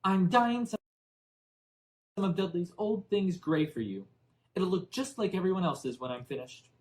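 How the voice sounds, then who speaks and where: A man voicing a sharp, snappish, tight-lipped middle-aged aunt in a storybook reading. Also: high voice, animated, measured pace, pitched above the performer's own voice. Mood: irritated, snapping. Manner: snappish, scolding.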